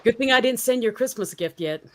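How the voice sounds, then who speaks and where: A woman's voice: a little high, animated, brisk, slight background noise. A woman hosting a live-stream talk show, chatting in an upbeat way and laughing often. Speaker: host. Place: home in Colorado.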